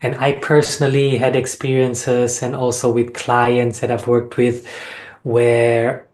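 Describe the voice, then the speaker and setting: A man from Austria speaking as an interview guest, reflecting on personal experience in an earnest, measured way with deliberate phrasing. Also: medium pitch, monotone, darkish tone. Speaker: sound healing facilitator and interview guest.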